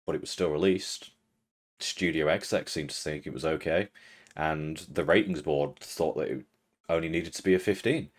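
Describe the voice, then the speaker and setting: A young British man with a British accent, reading a prepared critical commentary as the host of an online show, in a measured, dry and earnest way. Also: a bass voice. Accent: British English. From Britain. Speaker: video essay host.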